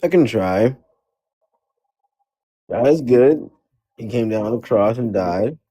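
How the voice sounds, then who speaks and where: A man's voice: mid-range voice, lively intonation, takes it slowly, mellow. A man hosting a casual debate chat, joking and rambling with lots of repetition.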